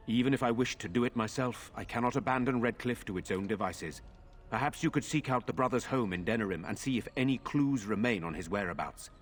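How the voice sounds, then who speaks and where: An actor playing a grave, resolute nobleman in a fantasy video game, speaking formally and with measured words. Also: lowish voice, breathy edge. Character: grave, resolute nobleman defending his brother's castle.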